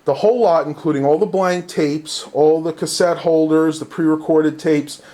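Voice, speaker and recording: A man's voice: on the high side, fairly even intonation, normal speed, warm tone. A man chatting casually and unscripted to viewers, thinking aloud in colloquial language as he shows things at home. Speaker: vlogger showing a haul of vintage cassette tapes. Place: indoors at home.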